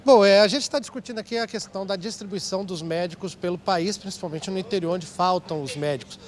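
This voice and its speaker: A man's voice: high voice, fast. A Brazilian man working as a TV reporter, informally interviewing people live in a public square. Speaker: TV reporter hosting audience participation. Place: outdoor public square, on a small stage. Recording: outdoor TV recording with faint street noise.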